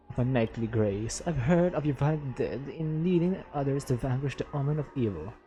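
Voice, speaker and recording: A man's voice: fairly high pitch, mellow, poor audio. A man with a Filipino accent, a game streamer chatting casually and reading dialogue aloud. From Philippines. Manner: code-switches between English and Tagalog. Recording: game music behind.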